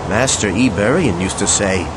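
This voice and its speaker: A man's voice: fairly low pitch, normal speed, very noisy, natural voice. A man playing a scholarly adept who can turn fierce, in a video game. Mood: calm, wise. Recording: loud music behind the voice.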